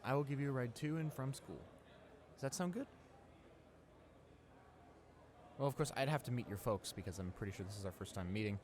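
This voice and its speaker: A young man playing a friendly, eager high school senior in a roleplay audio drama, rambling enthusiastically with stammers and repeats. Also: fast, a little mellow, natural voice. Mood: friendly, upbeat. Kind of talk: roleplay audio drama, casual one-sided conversation. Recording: clear background noise, ambience.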